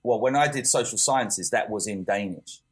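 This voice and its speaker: A man's voice: on the low side, normal speed, breathy edge. A man co-hosting a podcast, talking casually and hesitantly, with lots of fillers and self-corrections. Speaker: podcast co-host. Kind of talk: casual chat.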